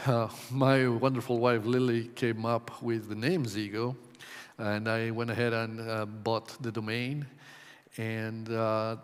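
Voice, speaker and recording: A man's voice: medium pitch, level tone, clear, resonant tone. Ecuador-raised man presenting in a hall. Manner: measured, with frequent ums and uhs. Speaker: conference presenter. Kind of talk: conference talk. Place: conference hall. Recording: long hall reverb.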